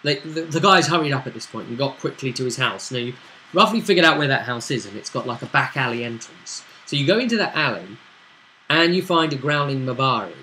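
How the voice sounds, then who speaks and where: A man's voice: mid-range voice, expressive, a little fast, bright, forceful tone, a little hiss or hum, low-quality recording. A man hosting a casual recap of a tabletop roleplaying session, telling it in a conversational storytelling way. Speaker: host and game master recapping a tabletop session.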